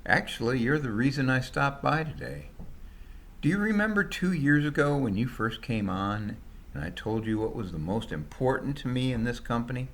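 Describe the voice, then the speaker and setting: A man voicing a company owner in an audio story, measured, polite and firm about standards. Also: medium pitch, very animated, a bit bright, a little hiss or hum, pitched above the performer's own voice. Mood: composed, firm. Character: company owner, composed boss with exacting standards.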